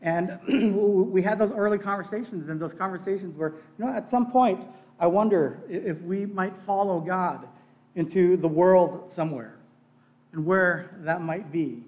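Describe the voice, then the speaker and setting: A man's voice: sits high, measured pace, darkish tone, distant mic. A middle-aged man preaching in a conversational, earnest way, sharing personal testimony and repeating phrases for emphasis. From born in Bangladesh, childhood partly in Pakistan in a missionary family. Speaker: pastor giving a sermon. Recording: echoey room.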